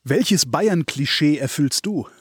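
A man's voice: a little high, lively intonation, slow, slightly rough, no room sound, clean studio sound. A male interviewer asking short, light questions.

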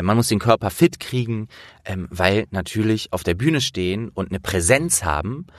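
A man's voice: fairly low pitch, a bit bright, no echo, clean studio sound. A man hosting a casual interview, talking informally and explaining things as he thinks aloud. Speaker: interviewer and host.

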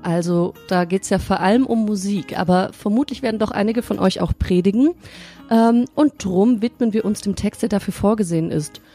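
A woman hosting a podcast on sermon ideas, chatting in a friendly way and reading a scripture passage aloud in a measured voice. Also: medium pitch, dark tone, soft background music. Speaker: podcast host. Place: studio or quiet room, sitting across from the guest.

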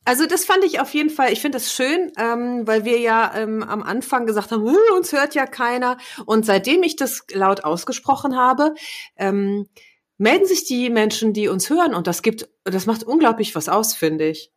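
A woman co-hosting a casual podcast, talking in a lively, teasing way. She laughs readily and jokes with her partner while chatting freely. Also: fairly high pitch, moderate pace. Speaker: podcast co-host. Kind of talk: casual chat.

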